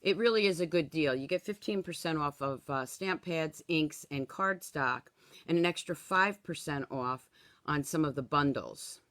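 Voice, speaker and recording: A woman in her sixties leads a friendly live stamping demonstration at her craft table. Her voice is a little hoarse from a cold, and her delivery is chatty and easygoing. The sound is dry and close, with no room echo. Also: low voice, moderate pace, fairly bright tone. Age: 60s. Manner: chatty, tags instructions with "okay?". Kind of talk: live-streamed craft tutorial.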